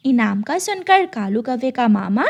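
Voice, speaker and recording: Very high-pitched woman narrating stories. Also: big pitch swings, measured pace, vocal fry. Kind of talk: scripted children's story narration.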